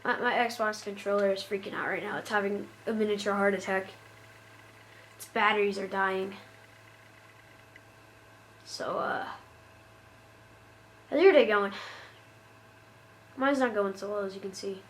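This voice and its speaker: A woman's voice: mid-range voice, steady tone, unhurried, long pauses, slight background noise, rough recording. A woman giving casual, jokey commentary while playing a shooter game, often exasperated and talking to the characters on screen. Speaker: gamer.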